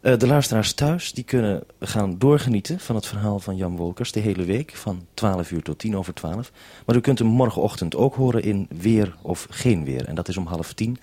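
A male radio presenter with a fairly low voice leads a live interview. He talks in a measured, orderly way, putting probing questions without a script. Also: warm tone.

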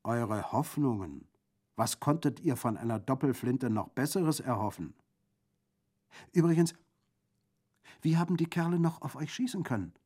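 A man's voice: medium pitch, animated, moderate pace, pauses often, darkish tone, husky, pitched above the performer's own voice. A man voicing a boisterous Corsican bandit in an audiobook, jovial and exclamatory, with a gleefully mocking, gruesome humour. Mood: urging, wry. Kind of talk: audiobook character acting.

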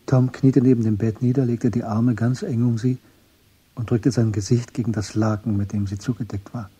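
A man narrating a literary radio drama from a script in a measured, understated way. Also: mid-range voice, fairly even intonation, dark tone, breathy edge, no room sound.

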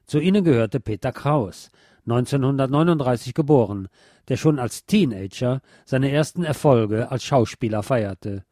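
Mellow-voiced man narrating music history. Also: mid-range voice, expressive, normal speed, dry recording, clean studio sound. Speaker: radio host and narrator.

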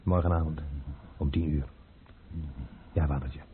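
A man's voice: very deep voice, fairly even intonation, unhurried. An actor playing a devoted male servant in a radio drama. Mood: deferential. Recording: muffled, noisy old radio play recording.

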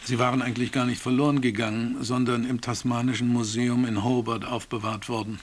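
A man reading a translation voice-over in an even, measured way. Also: medium pitch. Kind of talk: voice-over interpretation.

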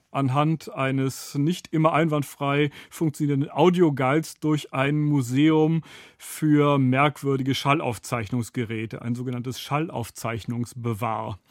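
Male radio critic, fairly high voice, close dry sound, thoughtful academic explanations with searching phrasing. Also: no room sound. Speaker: radio drama critic, studio guest. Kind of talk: explanatory discussion.

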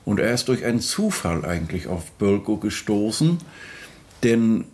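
Low-voiced, hesitant music expert. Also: male voice, sing-song, moderate pace, a little mellow. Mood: hesitant, explanatory. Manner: hesitant, conversational, explanatory. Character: male music expert explaining research, informal and hesitant. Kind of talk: spontaneous-sounding interview commentary in a mock documentary.

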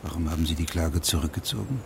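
An actor playing a grave, persistent investigating judge in a German radio play, questioning insistently in a husky, breathy voice. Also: male voice, very deep voice, fast, a little mellow, slight background noise. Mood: stern, probing.

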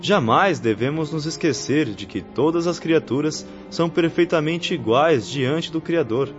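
An actor in a radio drama playing an earnest young spirit missionary who teaches patiently in a formal, solemn way. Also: male voice, on the high side, expressive, a little slow. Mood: solemn, instructive. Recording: muffled lo-fi radio drama recording, faint music.

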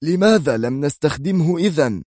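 A man voicing an edgy, irritable male operative in an audiobook, with a sharp, agitated delivery. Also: a little high, lively intonation, brisk, no echo, studio quality, voice pushed up. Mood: sharp, angry. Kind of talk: audiobook character voice.

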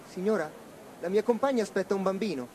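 A man's voice: high voice, quick pace, a little mellow, a little hiss or hum, natural voice. An actor playing an eager working-class man speaking up at a political meeting in a radio drama. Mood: eager, outspoken.

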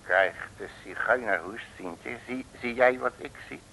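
A man's voice: mid-range voice, measured pace, creaky, pushed and bright, a little hiss or hum, poor audio. A man playing a brash, cocky, boastful detective in a 1950s radio serial, bossy and full of colourful folksy slang. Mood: bossy, brisk. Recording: old radio recording.

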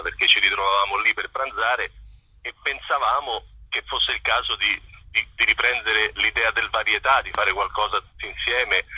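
A man being interviewed as a performer, talking casually and reflectively, often pausing to search for his words. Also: lowish voice, bright, forceful tone, breathy edge, no room sound, poor audio. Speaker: interview guest, singer-songwriter and performer.

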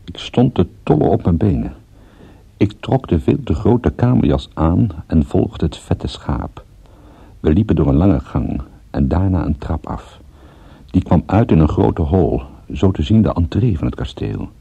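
An actor playing a wry, groggy captive private detective in a Dutch radio drama, with dry, sardonic understatement. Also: male voice, low voice, animated, a little slow, vocal fry, mellow, husky, dull audio, full character voice. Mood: wry, weary. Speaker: narrator and lead character. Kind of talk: Dutch radio drama acting, first-person detective narration.

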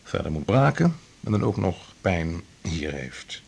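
Probing examiner doctor, lowish, gravelly, husky. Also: male voice, big pitch swings, unhurried, a little mellow, full character voice.

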